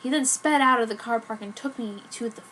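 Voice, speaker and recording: A woman's voice: fairly high pitch, fairly quick, sharp tone, slight background noise. A woman giving a theatrical, mock-earnest dramatic reading of fan fiction as narrator.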